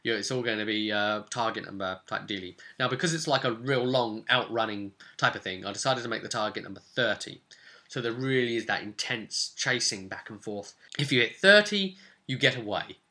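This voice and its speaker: A man casually recounting a role-playing session as game master, telling it in an animated storyteller's way. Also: on the low side, a little fast.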